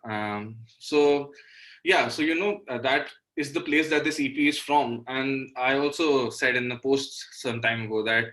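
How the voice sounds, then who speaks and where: A man's voice: medium pitch, measured pace, a bit bright, low-quality recording. A young man in his twenties with an Indian accent, explaining things reflectively in casual interview talk as a singer-songwriter guest, often saying "you know". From Delhi, India.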